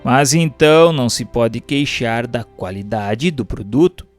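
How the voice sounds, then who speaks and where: A man with a Brazilian accent reading a doctrinal text aloud as a narrator, in a formal, measured, didactic way. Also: mid-range voice, expressive, over music. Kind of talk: scripted audiobook-style narration of a doctrinal text.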